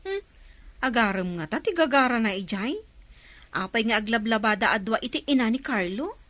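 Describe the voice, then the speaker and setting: An actress with an Ilocano Filipino accent playing a knowing, teasing village gossip in a radio comedy-drama. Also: female voice, a little high, lively intonation, normal speed, fairly bright tone, dry recording. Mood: gossipy.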